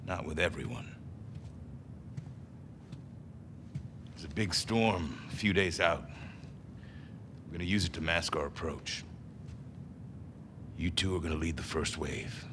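An actor playing a stern middle-aged militia commander in a game, speaking in a measured, terse, authoritative way. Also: male voice, extremely low pitch, background noise. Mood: commanding. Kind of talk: naturalistic game cutscene acting.

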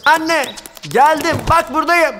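Very high-pitched headstrong cartoon mouse. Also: male voice, measured pace, background noise. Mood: excited. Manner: insistent, exclamatory calling. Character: small headstrong male mouse seeking a bride. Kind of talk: children's cartoon voice acting.

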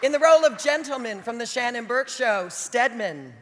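A woman's voice: fairly high pitch, expressive, normal speed, a bit bright. Woman at a podium mic calling out cast names, long hall echo, crowd noise behind. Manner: calls out names with emphasis. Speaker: stage announcer introducing the cast. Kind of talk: live event announcing. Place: large theater stage before a big audience. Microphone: podium mic on stand. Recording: echoey hall, audience noise. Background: crowd cheering.

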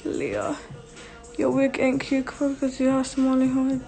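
Young woman, twenties, high-pitched, brash and sweary, casual phone livestream Q&A, light music underneath. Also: monotone, measured pace, vocal fry, warm tone. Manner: blunt, profane, laughing, reads comments aloud. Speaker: social media personality answering viewer questions. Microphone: phone held close, selfie framing.

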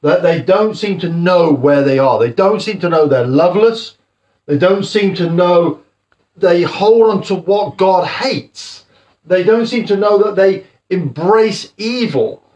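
A man in his fifties giving a jokey, animated home sermon as a house church preacher, filmed by a camera across his living room. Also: high-pitched, a little slow. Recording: slightly muffled home video.

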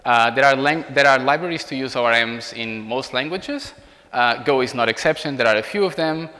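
A man in his thirties with a Portuguese accent, giving an informal technical presentation as a software engineer. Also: medium pitch, fairly quick. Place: indoor meetup room beside a large screen. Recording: reverberant hall sound.

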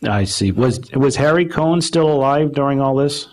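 A man with an American accent interviewing a guest conversationally, phrasing his questions in a halting, tentative way. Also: medium pitch, animated, darkish tone. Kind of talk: conversational interviewing before an audience.

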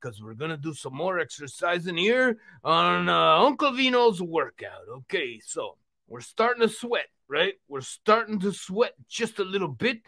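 Man as excitable, friendly male puppet workout host for a kids' show; animated pitch, bright delivery. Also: unhurried, creaky, exaggerated voice. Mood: excited, encouraging. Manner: exclamatory, repetitive, addresses the audience.

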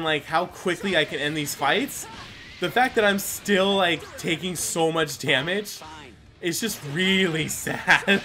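Bright-voiced man doing casual game commentary. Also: a little high. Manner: rambling, self-deprecating, mild swearing. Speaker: gaming video host.

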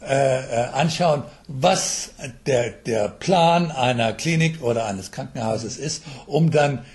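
An older man answering interview questions as an expert, explaining in a halting way with English jargon mixed in. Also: mid-range voice, darkish tone. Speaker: mobile health expert being interviewed. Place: telephone interview.

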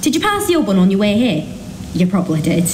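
A woman's voice: mid-range voice, wildly swooping pitch, fast, darkish tone. A woman playing a chatty, opinionated villager grumbling frankly in an amateur radio play. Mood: frank, disapproving. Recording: echoey room, faint noise.

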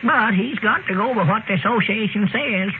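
Man playing a high-voiced old country fellow, old radio. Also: normal speed, natural voice. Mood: folksy, earnest. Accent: rural Southern US. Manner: folksy, rambling, giggly. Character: excitable, teasing old country storekeeper. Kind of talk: 1930s-40s radio comedy acting. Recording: scratchy, narrow-band old radio recording.

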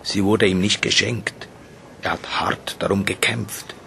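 A man reading in an absurdist radio play in a measured, matter-of-fact way. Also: low voice, steady tone, creaky, pushed and bright, a little hiss or hum, heavily acted.

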